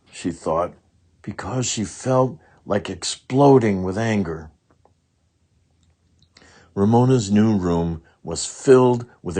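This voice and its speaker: A man's voice: lowish voice, darkish tone. A man narrating a children's storybook expressively, with dramatic emphasis on words. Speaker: children's book read-along narrator.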